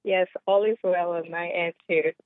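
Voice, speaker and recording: A woman's voice: fairly low pitch, steady tone, moderate pace, no echo. Ugandan woman caller on a tinny phone. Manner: earnest, laughs lightly, explains patiently.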